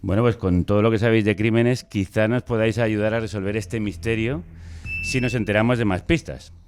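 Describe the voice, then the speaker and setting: A man's voice: fairly low pitch, steady tone, brisk, noisy background. A man with an accent from Spain presenting a radio show, moving between conversation and scripted introductions. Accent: Spanish, from Spain.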